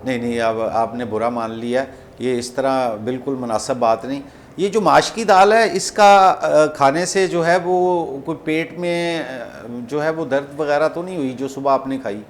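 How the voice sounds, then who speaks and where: A man with a Pakistani accent making a prank phone call, rambling and repeating himself in deliberately confusing improvised banter. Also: medium pitch, a little hiss or hum. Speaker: prank caller hosting a comedy show.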